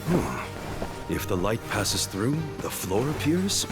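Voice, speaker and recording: Low-voiced male game mercenary, measured, dry but kindly, music behind. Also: very animated, full character voice. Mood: concerned. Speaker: mercenary character. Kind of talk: video game voice acting.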